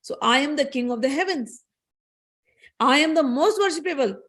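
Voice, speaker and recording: A woman's voice: sits high, expressive, moderate pace, fairly bright tone, voice pushed up. A woman voicing a boastful male king of the gods who makes proud, emphatic declarations.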